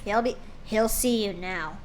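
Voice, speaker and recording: A woman's voice: medium pitch, a little slow, slight background noise, close to an everyday voice. A woman voicing a hostile, bitter female pegasus nurse who talks in a spiteful, growling way. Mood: curt.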